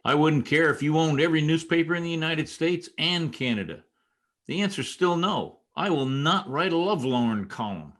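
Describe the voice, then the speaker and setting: A man's voice: on the high side, expressive, normal speed, a bit bright. Stubborn, wisecracking newspaper reporter played by a man. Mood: defiant. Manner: argumentative, sarcastic. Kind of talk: old-time radio comedy acting.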